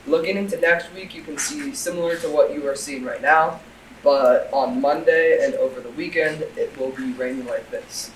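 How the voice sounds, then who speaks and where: A teenage boy giving the school weather report outdoors in the rain in a steady, scripted way. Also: a little high, moderate pace, roomy sound, recorded from a distance, slight background noise, rough recording. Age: teens.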